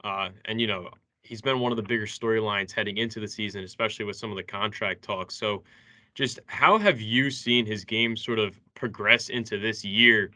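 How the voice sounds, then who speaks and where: A man's voice: lowish voice, normal speed, pushed and bright. A man co-hosting a sports show, putting questions to a guest conversationally. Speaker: sports show co-host, interviewer.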